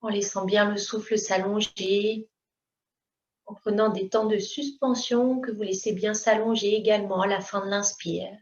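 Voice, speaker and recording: A woman teaching yoga, giving calm, unhurried guidance in short phrases. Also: mid-range voice. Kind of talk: guided yoga and relaxation instruction. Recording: roomy, distant mic, dull sound.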